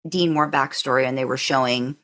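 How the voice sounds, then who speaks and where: Low-pitched woman in casual fan-podcast chat. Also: steady tone, brisk, fairly bright tone. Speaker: podcast co-host.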